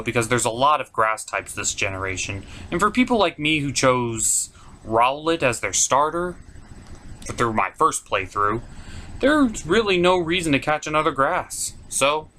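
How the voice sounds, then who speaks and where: A man's voice: medium pitch, bright, forceful tone. A man hosting a top ten list, giving casual, opinionated commentary with wry, informal asides.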